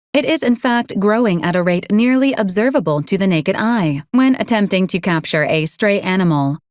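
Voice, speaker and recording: Actress as female robot medical unit for a game: formal, literal, deadpan, mid-pitched, very dry close sound. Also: no room sound, close to an everyday voice. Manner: clinical, formal, deadpan delivery. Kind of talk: video game voice acting.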